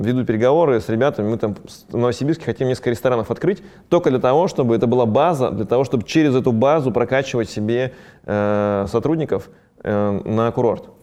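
Fast-talking man with a dark, mellow voice. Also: medium pitch. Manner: fast, conversational, many fillers. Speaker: ski resort business owner. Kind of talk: casual interview talk.

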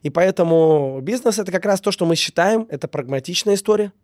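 A man's voice: high-pitched, lively intonation, quick pace, darkish tone, no echo, studio quality. A man getting over a cold, a business owner talking earnestly in a casual conversation and repeating words for emphasis. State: recovering from a cold.

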